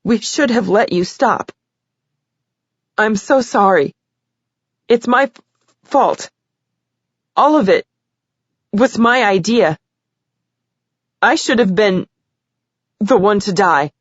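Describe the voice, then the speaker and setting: A woman's voice: medium pitch, slow, pauses often, no echo, dull audio, voice pushed up. A woman voicing a tearful, shaky teenage girl. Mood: distraught. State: crying. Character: tearful teenage girl giving courtroom statement.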